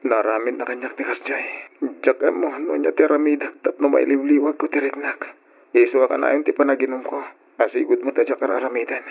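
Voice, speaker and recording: Creaky, heartbroken young man. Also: medium pitch, steady tone, brisk. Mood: drunken despair. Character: heartbroken young man, drunken, self-pitying and wronged. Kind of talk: old Ilocano radio drama acting. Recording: dull lo-fi radio drama recording.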